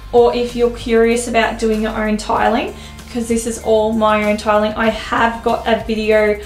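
A woman, giving a casual, candid tour of her small laundry room as a home decor vlogger, filmed on a handheld camera. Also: fairly high pitch. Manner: chatty, candid, self-deprecating. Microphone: handheld camera at close range. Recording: echoey small room, faint room hum.

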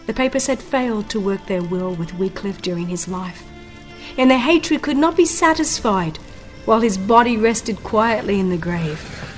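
A woman narrating a dramatized audiobook of religious history in a solemn, measured manner with deliberate phrasing. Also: medium pitch, expressive, low-quality recording. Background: background music.

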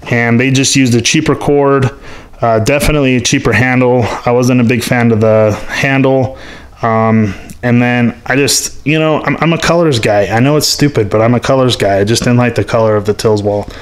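A man's voice: medium pitch, fairly even intonation, moderate pace. Frank, rambling man reviewing shop tools. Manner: rambling, frank, lots of ums and restarts. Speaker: workshop YouTuber reviewing tools. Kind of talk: casual unscripted tool review. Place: metal-walled home workshop.

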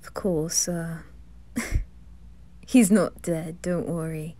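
A woman voicing a reserved, concerned young male aristocrat, quiet and understated, in an ASMR roleplay. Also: lowish voice, steady tone, extremely slow. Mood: subdued reassurance.

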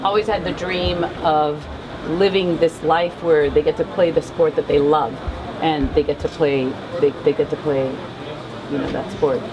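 A woman co-hosting an interview and translating between Spanish and English, quick and friendly. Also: low voice, steady tone, lo-fi sound, a crowd in the background. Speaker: bilingual co-host and interpreter. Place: behind-the-scenes area at a fan convention, indoors.

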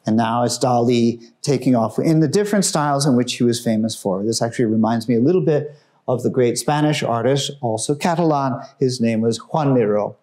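A man giving an informal, enthusiastic talk about artworks in a museum room, growing hushed and solemn at the tragic pieces. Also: mid-range voice, fairly quick. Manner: enthusiastic, conversational, drops his voice for solemn works. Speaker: museum guide presenting artworks. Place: museum gallery room, indoors.

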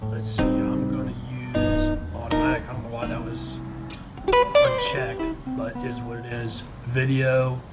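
Loud guitar music plays behind a middle-aged American man with a medium-pitched voice. He walks listeners through software in an informal, explanatory way. His delivery is that of a casual screen-share tutorial recorded at a desk. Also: big pitch swings, a little slow. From United States. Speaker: tutorial presenter. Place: indoors at a computer desk.

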